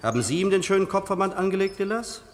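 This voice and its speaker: A brusque, scornful surgeon in a radio drama. He speaks in clipped commands with lively pitch, in a ringing room with audible noise. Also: quick pace. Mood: cool, scornful. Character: brusque, scornful male surgeon at operating table. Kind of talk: German radio play acting. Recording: noisy, reverberant room, set back.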